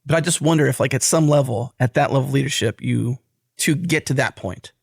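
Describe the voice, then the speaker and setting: A man's voice: medium pitch, brisk, gravelly, husky, no echo, clean studio sound. An American man co-hosting a casual interview, reflecting aloud in piecemeal clauses. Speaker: podcast co-host.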